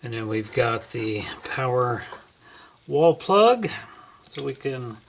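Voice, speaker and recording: A man narrating a casual hands-on unboxing at home, thinking aloud in a folksy, unhurried way. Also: mid-range voice, big pitch swings. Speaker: amateur product reviewer. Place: indoors at home.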